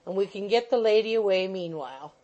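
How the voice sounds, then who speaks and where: A woman's voice: medium pitch, expressive, brisk, warm tone, lo-fi sound, natural voice. A woman voicing a fussy, bustling little male attorney who coaxes and keeps saying "my dear sir". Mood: wheedling. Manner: coaxing, repeats "my dear sir".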